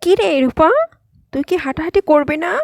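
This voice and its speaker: A woman's voice: sits high, a little fast, vocal fry, darkish tone, no echo, studio quality. A woman voicing a caring, anxious mother in an amateur cartoon. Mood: urging. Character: caring, anxious Bengali mother of a possessed daughter. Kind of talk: amateur animated cartoon voice acting.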